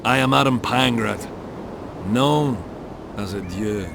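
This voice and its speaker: An actor playing a cool, hard-bitten mercenary commander in a video game, gravelly and speaking in a measured, commanding way. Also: male voice, mid-range voice. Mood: composed, dry. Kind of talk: video game character acting. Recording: clear background ambience noise.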